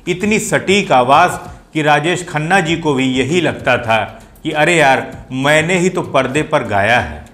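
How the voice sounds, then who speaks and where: A man's voice: fairly high pitch, lively intonation, unhurried, fairly bright tone. Enthusiastic man hosting a music talk. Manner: enthusiastic, admiring, rhetorical asides. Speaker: host explaining playback singing. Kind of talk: explanatory talk to viewers.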